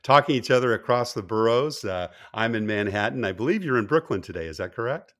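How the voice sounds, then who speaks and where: A man's voice: medium pitch, expressive, fast talker, a bit bright. A man hosting a business interview podcast, upbeat and encouraging, laughing often with his guest. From California. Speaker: podcast host. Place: Manhattan.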